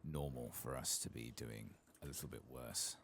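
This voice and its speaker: A man giving soft-spoken, partly whispered ASMR commentary over a video game, murmuring and thinking aloud in an unhurried way. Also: very deep voice, steady tone, gravelly. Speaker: ASMR gaming streamer.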